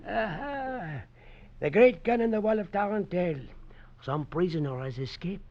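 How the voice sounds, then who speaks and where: A man's voice: sits high, animated, normal speed. An actor playing a small, wrinkled old French knife maker, serene, fatherly and cryptic, in 1940s radio drama style. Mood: knowing, cryptic. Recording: muffled old radio recording.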